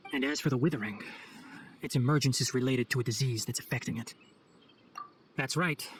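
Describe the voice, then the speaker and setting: A man performs an earnest young forest ranger in a game cutscene. The voice sits fairly high for a male and moves quickly, with formal, articulate phrasing and a composed, scholarly manner. Music plays behind it. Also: natural voice. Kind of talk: video game cutscene voice acting.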